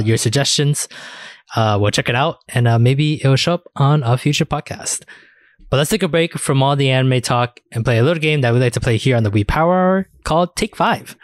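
A man's voice: mid-range voice, dry recording, studio quality. A man hosting a podcast in a casual, chatty style. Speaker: podcast host. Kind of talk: casual chat.

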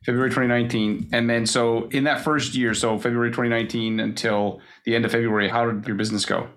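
A man's voice: mid-range voice, little pitch movement, fairly bright tone. A man hosting a podcast interview, talking in a casual, upbeat way full of fillers. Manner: upbeat, fast talk with many fillers like "like". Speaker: podcast host.

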